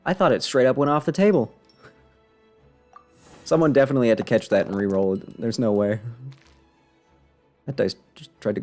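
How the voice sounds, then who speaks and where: A man with a fairly low voice commentates casually over his own game stream. He thinks out loud, swinging between muttering and exclaiming, often at his own expense. Game music plays faintly behind him. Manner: thinks aloud, self-deprecating, mutters and exclaims. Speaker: video game livestreamer. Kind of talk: casual live gameplay commentary.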